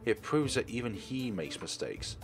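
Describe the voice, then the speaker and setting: A man's voice: mid-range voice, very animated, normal speed. A man doing a let's play, reading a visual novel aloud in a dramatised way with the odd stumble. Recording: music behind the voice. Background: game music.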